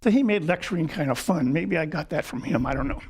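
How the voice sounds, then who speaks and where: A man's voice: on the high side, expressive, fast talker. Older man telling wry life stories. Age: 70s. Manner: wry, chuckling, clears throat often. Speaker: guest lecturer. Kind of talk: personal storytelling lecture. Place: lecture hall.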